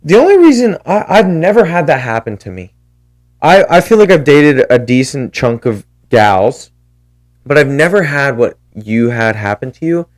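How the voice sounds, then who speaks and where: A man hosting a casual podcast chat, animated, swearing freely and supportive. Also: medium pitch, normal speed. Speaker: podcast host.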